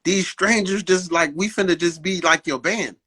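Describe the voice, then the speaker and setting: A man hosting a casual interview in slangy speech, haltingly repeating phrases as he builds his questions. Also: high-pitched, bright, forceful tone. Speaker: interviewer, host.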